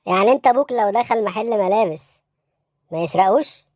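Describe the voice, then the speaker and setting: Actor as baffled police interrogator, Egyptian accent, extremely quick delivery, raised pitch, bossy, insistent, dry close sound, comic cartoon. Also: darkish tone, no echo, lightly acted. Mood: incredulous. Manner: insistent, incredulous, brusque. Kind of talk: Egyptian comedy cartoon dialogue.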